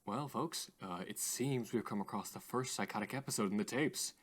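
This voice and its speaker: A man playing a polished, eager radio host who presents mysterious tapes in a deliberate, showy manner. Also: lowish voice, slight background noise, lightly acted. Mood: intrigued. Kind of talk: scripted radio show presenting.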